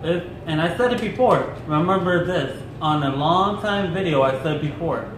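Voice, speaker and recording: A young man co-hosting a casual chat, relaxed, joking and opinionated. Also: on the high side, moderate pace. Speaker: co-host. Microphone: camera a few feet away. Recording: echoey room with clear background noise.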